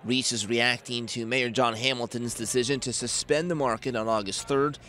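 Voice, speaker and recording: A man narrating a local TV news report in an even, measured newsreader delivery. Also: medium pitch.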